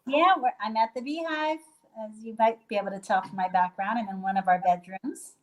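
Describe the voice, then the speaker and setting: A woman from Puerto Rico chats over a video call from a bedroom in a guesthouse. She talks loosely, stopping and restarting with lots of fillers, in a voice of middle pitch. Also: a little fast, low-quality recording. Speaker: guest, hostel owner. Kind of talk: casual video call interview.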